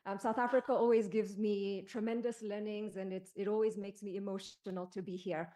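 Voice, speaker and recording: A woman from Kenya with a Kenyan accent, speaking as a panelist and advisor in a formal, measured, emphatic speech. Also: mid-range voice, flat delivery, mellow.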